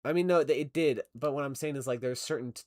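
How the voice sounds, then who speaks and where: A man's voice: medium pitch, fast, dry recording, studio quality. A young man streaming while he animates, chatting casually and rambling with many fillers and self-corrections. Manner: rambling, lots of fillers, laughs often. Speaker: stop-motion animation streamer. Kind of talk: casual livestream chat, reading chat.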